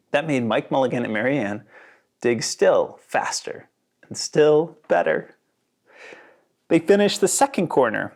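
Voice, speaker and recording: A narrator reading a children's storybook aloud with dramatic, expressive emphasis. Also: mid-range voice. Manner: dramatic, with sound words and slowed emphasis.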